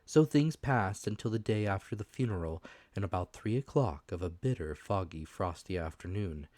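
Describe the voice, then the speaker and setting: Male narrator, low pitch, dramatic storytelling, audiobook reading, dry recording. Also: animated, moderate pace, a little mellow, dry recording.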